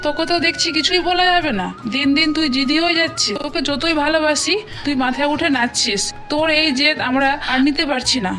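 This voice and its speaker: A woman's voice: high-pitched, fast, pushed and bright. A woman voicing an exasperated, scolding middle-aged mother in a cartoon. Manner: scolding, complaining. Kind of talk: animated moral-tale cartoon dialogue. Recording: music behind the voice.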